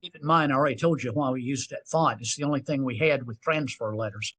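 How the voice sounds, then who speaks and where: A man in his sixties with a Southern US accent, a podcast guest telling stories in an animated, self-deprecating way. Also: medium pitch, quick pace, breathy edge. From North Carolina. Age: 60s. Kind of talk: storytelling interview.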